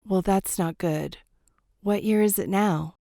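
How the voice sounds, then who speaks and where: A woman's voice: mid-range voice, slow, creaky, dark tone, no echo, studio quality. A woman playing the earnest ghost of a wartime doctor in a radio drama, speaking frankly and conversationally. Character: earnest female ghost of a Great War doctor.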